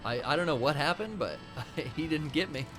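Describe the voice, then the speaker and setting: A man's voice: on the high side, moderate pace, music in the background. A man co-hosting a livestream, chatting casually and trash-talking the enemies in the game he plays. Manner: animated, swearing, trash-talks game enemies. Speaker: co-host playing a video game. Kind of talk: casual livestream chat and gameplay.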